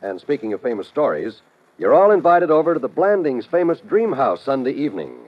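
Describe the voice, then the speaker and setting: A man's voice: medium pitch, sing-song, fairly quick. A man reading scripted promos as a 1950s network radio announcer. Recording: dull old radio recording, music behind.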